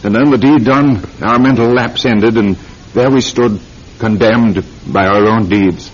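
An actor playing a proud, analytical titled surgeon in a classic radio drama, speaking formally and theatrically with pauses for emphasis. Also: male voice, fairly low pitch, husky. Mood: grave, analytical. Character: proud, analytical titled British surgeon confined for attempted murder. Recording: muffled old radio recording.

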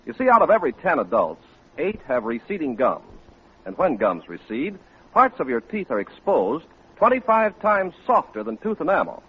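A man's voice: mid-range voice, breathy edge. An American man announcing and reading ads in a polished, salesmanlike 1940s radio style. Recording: muffled old radio recording.

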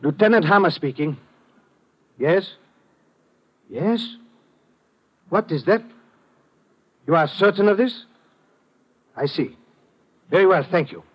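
A man's voice: high-pitched, lively intonation, slow, long pauses, breathy edge, exaggerated voice. A man playing a stern, formal police lieutenant from abroad in a 1950s radio drama, speaking precisely and without contractions. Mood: grave. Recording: dull old radio broadcast.

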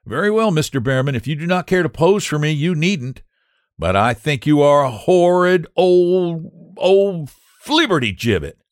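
A man putting on a brisk young woman. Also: a little high, very animated, no room sound, studio quality, pitched above the performer's own voice. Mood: indignant.

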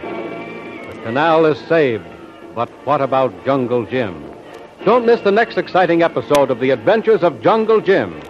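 A man with an American accent narrating a scripted 1940s radio serial in a dramatic, declamatory manner. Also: on the high side. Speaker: announcer and narrator. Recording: dull old radio recording.

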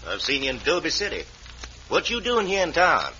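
An actor playing a grudging, wary Old West sheriff with an American accent and folksy speech in an old radio western. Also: male voice, on the high side, huge pitch swings, brisk, sharp tone, slightly rough, full character voice. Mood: stern, wary. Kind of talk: 1950s radio western acting. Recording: dull, hissy old radio recording.

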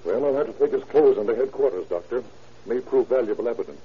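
An actor playing a blunt, commanding police lieutenant in an old radio crime drama, with clipped delivery. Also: male voice, mid-range voice, animated, fast talker, breathy edge. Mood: firm, matter-of-fact. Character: brisk, hard-nosed police detective lieutenant. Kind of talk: 1930s radio acting. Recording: noisy, muffled old radio recording.

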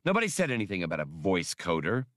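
A man's voice: deep voice, huge pitch swings, quick pace, a bit bright, no room sound, clean studio sound, exaggerated voice. A man voicing a cocky, impatient starship smuggler captain in an audiobook. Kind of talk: audiobook character voice.

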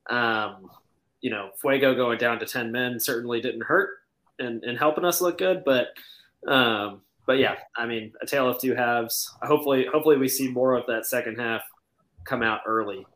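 An American man co-hosting a podcast, giving easygoing, filler-filled opinions on a soccer game. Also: mid-range voice. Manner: relaxed, filler-heavy. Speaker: podcast co-host. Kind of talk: casual sports chat.

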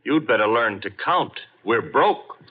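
An actor playing a wisecracking, long-suffering detective's assistant, wry and brisk, in a 1950s radio drama. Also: male voice, medium pitch, expressive, breathy edge. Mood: urgent, wry. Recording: muffled 1950 radio recording, loud music.

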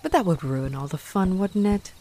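A woman's voice: on the low side, animated, moderate pace, warm tone, slight background noise, natural voice. A woman playing a haughty, sarcastic female vampire in a roleplay audio drama, mocking and theatrical as she taunts her rival. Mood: haughty, menacing.

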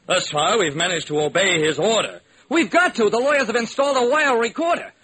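An actor playing an exasperated heir who must speak only in emphatic, theatrical rhyme, in an old radio drama. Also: male voice, sits high, a little fast, pushed and bright, slightly rough, full character voice. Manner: theatrical, emphatic rhyming delivery. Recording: noisy vintage radio recording.

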